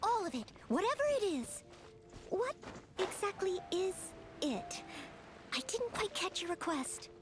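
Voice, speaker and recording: A woman's voice: extremely high pitch, sing-song, unhurried, heavily acted. A woman voicing a polite, eager young maid knight from a game, earnest and obliging. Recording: game audio with background music. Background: game music.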